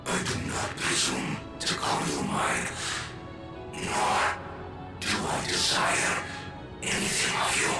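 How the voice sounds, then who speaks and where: A man playing a bitter, dying, proud father in a video game cutscene, speaking gravely and haltingly in a formal, breathy voice. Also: reverberant, far from the mic, music in the background, natural voice. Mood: solemn, resigned.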